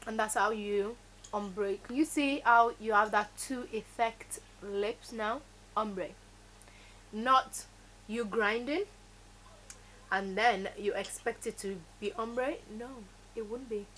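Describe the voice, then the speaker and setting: A woman's voice: mid-range voice, slow pace, fairly bright tone, slight background noise, rough recording. Woman teaching makeup. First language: Yoruba. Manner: instructive, emphatic, repeats key words. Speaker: makeup tutorial host. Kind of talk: step-by-step beauty tutorial.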